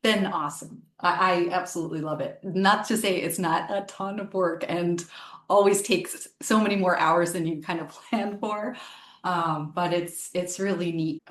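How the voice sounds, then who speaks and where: A woman's voice: fairly low pitch, fairly even intonation, moderate pace, reverberant. A woman harpist and singer from the United States, answering interview questions in a chatty way, thinking aloud with many ums and restarts. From Northern Virginia, USA.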